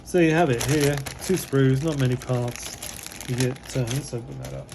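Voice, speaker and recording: A slightly hoarse man, losing his voice, giving relaxed, rambling commentary as he unboxes a model kit, with many fillers. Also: mid-range voice, normal speed, background noise.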